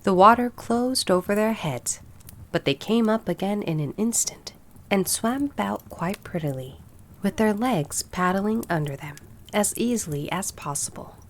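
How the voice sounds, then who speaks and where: Slow, expressive woman storyteller. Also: medium pitch, slight background noise. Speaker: storybook narrator. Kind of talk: expressive scripted narration of a fairy tale.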